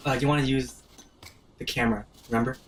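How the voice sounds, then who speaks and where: A man's voice: mid-range voice, steady tone, fast talker. A man casually explaining things to friends while they play a video game, informal and chatty. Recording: distant mic, faint noise.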